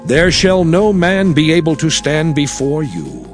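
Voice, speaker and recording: Slow, solemn prophet over music. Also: male voice, fairly high pitch, big pitch swings. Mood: solemn exhortation. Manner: measured, solemn, declamatory. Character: solemn Hebrew prophet leader exhorting his people. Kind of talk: dramatized scripture reading.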